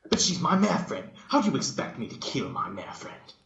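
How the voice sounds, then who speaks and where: A man's voice: mid-range voice, sing-song, moderate pace, creaky, a bit bright, echoey room, highs cut off, voice pushed up. A man voicing a brash, defiant tomboy female cartoon pegasus with a blunt, protesting manner in a fan story reading. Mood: protesting, pleading.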